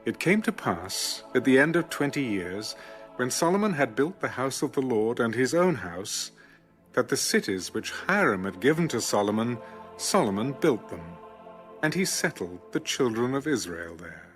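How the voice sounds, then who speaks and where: A man narrating scripture from a script in a measured, formal way. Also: mid-range voice, sing-song, a bit bright, faint music behind. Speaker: narrator of an audio Bible.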